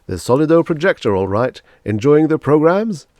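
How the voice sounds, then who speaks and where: A man voicing a tall, imposing, jovial finance agent with effusive, brisk sales patter in an audiobook story. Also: on the high side, very animated, a little mellow, no echo, voice pushed up. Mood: brisk, inquisitive. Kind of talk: audiobook character dialogue.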